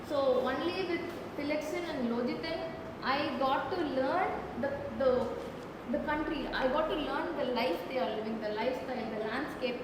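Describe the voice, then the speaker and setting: A woman with an Indian Tamil accent speaks in a high voice, presenting her work off the cuff. She halts and repeats words as she explains. The sound is heavily echoed, with clear noise behind her. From Tamil Nadu, India. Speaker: photographer presenting a residency project. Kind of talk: unscripted panel presentation. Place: large reverberant indoor event space.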